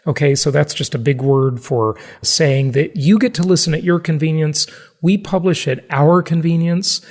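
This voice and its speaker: Male podcast host, fairly high-pitched. Casual and conversational, salesy, stresses points, likes to kid around. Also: darkish tone. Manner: emphatic, salesy, joking.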